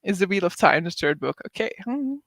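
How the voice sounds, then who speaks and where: A woman's voice: lowish voice, lively intonation, a little fast, fairly bright tone, no echo, clean studio sound. A woman hosting a casual livestream reading sprint, reading viewer comments aloud, chatting with frequent laughs, likes and ums.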